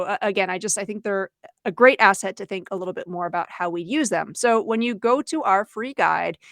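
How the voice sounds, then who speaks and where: A woman's voice: medium pitch, dry recording, clean studio sound. A woman co-hosting a webinar for teachers, explaining conversationally and voicing imagined quotes as she goes. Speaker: webinar co-host, educator.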